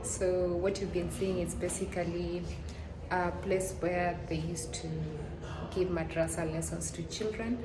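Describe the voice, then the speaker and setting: A woman talking casually in a travel vlog, unhurried and soft-spoken, with light laughs between phrases, recorded on a phone held at arm's length. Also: lowish voice, fairly even intonation, background noise. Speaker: travel vlogger.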